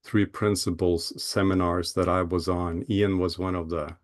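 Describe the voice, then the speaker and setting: A man from Norway speaking as a guest on a video call, eager and warm in his words, often restarting and repeating words. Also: low-pitched, flat delivery, unhurried. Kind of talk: casual talk on a video call. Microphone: computer mic on a video call.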